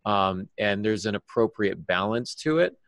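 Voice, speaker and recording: Male podcast panelist, deep voice, analytical, halting delivery, very dry recording. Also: lively intonation, fairly bright tone, dry recording. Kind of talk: analytical discussion.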